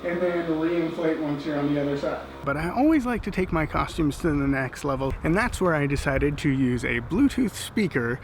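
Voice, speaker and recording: A man with a fairly high voice talks through a casual how-to demonstration in the manner of a hobby vlogger. There is some noise behind him as he explains things plainly. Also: expressive, normal speed, few pauses. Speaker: costume vlogger.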